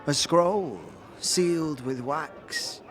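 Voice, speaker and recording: A woman playing a hunched old fortune-teller, grave and cryptic, with archaic, halting, portentous phrasing in an audio drama. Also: low voice, faint music behind. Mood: grave, mysterious. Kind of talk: audio drama character acting.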